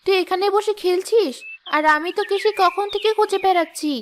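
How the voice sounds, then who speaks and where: Woman playing a very high-voiced, fretful village mother. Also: brisk. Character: anxious young village wife and mother. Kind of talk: animated moral story voice acting.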